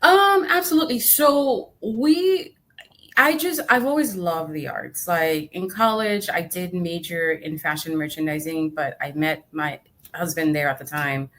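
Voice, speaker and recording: Haitian-accented woman telling her life story, kinda low for a woman, lots of ums and laughs, video call. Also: unhurried, fairly bright tone. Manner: chatty, many fillers and laughs, acts out quotes. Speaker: guest, arts nonprofit director. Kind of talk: casual interview storytelling. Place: home room, video call.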